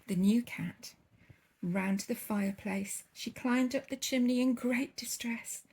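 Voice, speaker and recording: A high-pitched woman reads a children's storybook with theatrical, drawn-out emphasis. She takes it slowly and expressively, with a noticeable creak in her voice. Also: noisy background. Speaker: bedtime story reader.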